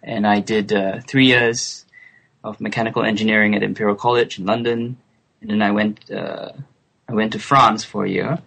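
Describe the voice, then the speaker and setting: A man in his twenties from Singapore, a guest on a remote call, speaking thoughtfully with soft laughs and hesitant fillers. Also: lowish voice, fairly even intonation, normal speed, vocal fry, low-quality recording. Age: 20s. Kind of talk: relaxed interview chat.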